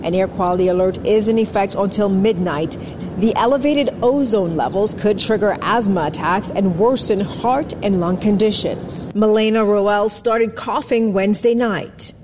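A woman narrating a news report, measured and informative. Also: mid-range voice, background noise.

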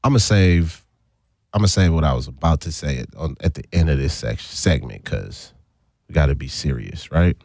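A young man co-hosting a radio show, joking around in slangy, animated banter. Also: a bass voice, dry recording, studio quality. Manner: animated, laughing, slangy, quick to imitate others. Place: radio studio.

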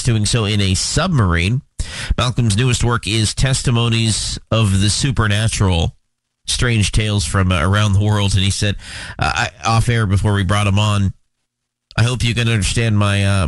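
A man hosting a talk show, giving news updates and introducing a guest, speaking in a measured way with frequent fillers. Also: on the low side, steady tone, dry recording. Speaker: host. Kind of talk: talk show commentary and interview.

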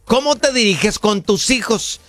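A man's voice: sits high, a little slow, no echo. Upbeat man hosting call-in radio. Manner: lively, addresses listeners directly. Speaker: radio host. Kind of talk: casual radio talk show.

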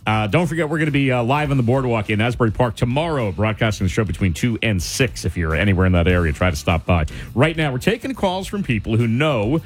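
Lively, chatty male radio host. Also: medium pitch, breathy edge. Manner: chatty, laughs easily, many fillers. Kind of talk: casual call-in radio chat. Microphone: studio microphone.

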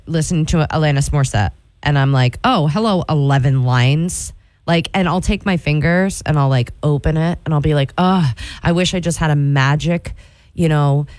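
Woman in her forties, radio host, chatty ad read, self-mocking, lowish voice, dry close sound. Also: no echo. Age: 40s. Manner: bubbly, confiding and self-mocking, with playful swoops up in pitch. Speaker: radio morning show host.

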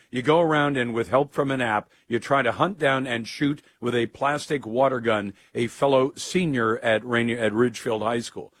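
A man hosts a call-in radio show, his voice hoarse from a cold. He talks in an opinionated, conversational way and cuts in on callers. The studio sound is close and dry. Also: mid-range voice, a bit bright, no room sound. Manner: opinionated, conversational, quick to jump in. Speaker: radio talk show host. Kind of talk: talk radio, taking calls. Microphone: studio microphone.

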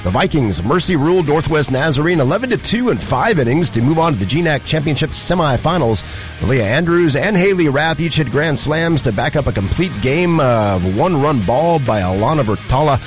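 A man's voice: on the high side, lively intonation, fairly quick, faint music behind. A man co-hosting a local radio news show, opinionated and wry, also reading sports headlines. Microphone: studio microphone.

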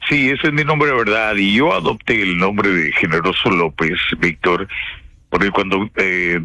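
A man from northern Mexico with a northern Mexican accent, a radio broadcaster telling anecdotes in a casual interview with expressive, slangy speech. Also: deep voice, moderate pace. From Piedras Negras, Mexico. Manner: colloquial, expressive storyteller with slang.

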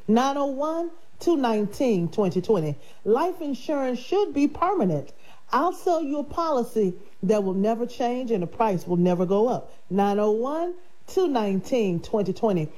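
A woman's voice: medium pitch, low-quality recording. Chatty woman radio host, nasal. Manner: warm, grateful, chatty, with laughs. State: nasal from draining sinuses. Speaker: radio host selling life insurance. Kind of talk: casual radio talk.

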